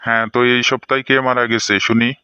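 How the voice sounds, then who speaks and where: A man's voice: mid-range voice, flat delivery, fast, pushed and bright, muffled recording, a little hiss or hum. A man voicing a stern, suspicious cartoon schoolteacher, scolding and interrogating a pupil. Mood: suspicious, questioning. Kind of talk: comedy cartoon dialogue.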